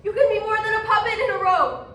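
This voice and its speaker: Woman as a very high-voiced mysterious witch, echoey. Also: quick pace, fairly bright tone. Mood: eerie coaxing. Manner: theatrical. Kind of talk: readers theatre stage acting. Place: large echoey indoor room, distant mic. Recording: echoey hall from far off, faint noise.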